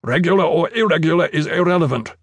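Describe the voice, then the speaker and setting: A man's voice: fairly high pitch, quick pace, breathy edge, no echo, studio quality, pitched above the performer's own voice. A man voicing a blunt, impatient military commander who talks in curt demands.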